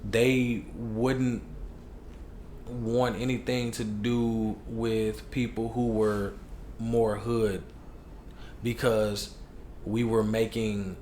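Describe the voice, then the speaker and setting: Man, Chicago accent, young adult, lowish voice, slangy candid podcast guest, roomy echo. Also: very slow, long pauses. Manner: relaxed, slangy, candid storytelling. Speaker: hip hop artist guest. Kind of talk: casual podcast interview. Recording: echoey room with faint noise.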